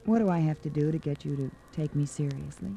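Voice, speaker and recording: Low, mellow-voiced flirtatious socialite. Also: female voice, fast talker, creaky, soft background music. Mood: flirty, playful. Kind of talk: 1940s radio drama acting. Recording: noisy vintage 1940s radio recording.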